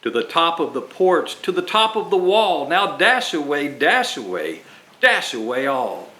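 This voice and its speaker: A man's voice: a little high, very animated, a little slow, sharp tone, heavily acted. A man voicing jolly old Saint Nick calling out to his reindeer in a storybook reading, raising his voice. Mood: rousing. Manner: calling out, rousing. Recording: roomy echo.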